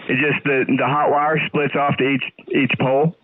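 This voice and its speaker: A man's voice: medium pitch, little pitch movement, normal speed, dry recording. Male ham radio caller, American, plainspoken and a little hesitant, thin band-limited radio sound. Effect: two-way radio. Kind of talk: casual ham radio chat. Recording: narrow tinny ham radio signal, static.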